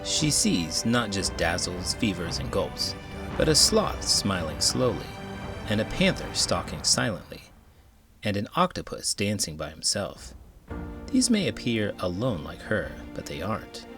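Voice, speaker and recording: A man reading a children's picture book aloud in an expressive, dramatic way, as a host would for young listeners. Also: fairly low pitch, a little slow, music in the background. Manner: expressive, dramatic delivery with big emotions. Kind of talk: animated read-aloud narration for children.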